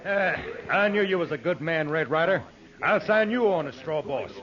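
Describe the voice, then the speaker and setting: An actor playing a feisty, stubborn older cattle rancher in an old-time radio western. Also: male voice, fairly high pitch, lively intonation, normal speed, fairly bright tone. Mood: gruffly stubborn. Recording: noisy, muffled old radio recording.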